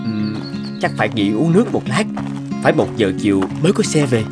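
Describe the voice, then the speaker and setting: A man playing a keen, friendly young photographer in an animated story, speaking politely and enthusiastically. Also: medium pitch, fairly quick, lightly acted. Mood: cheerful. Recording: guitar music under the voice.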